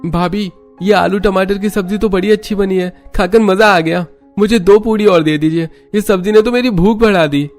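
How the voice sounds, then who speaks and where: A man's voice: high voice, moderate pace. A man playing an eager young son of a farming family in a cartoon story. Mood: delighted. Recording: music behind the voice.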